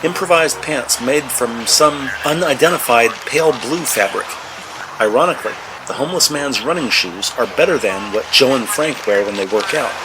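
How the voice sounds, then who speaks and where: A man's voice: medium pitch, animated, moderate pace, noisy background. A man giving scripted, present-tense narration for a crime drama.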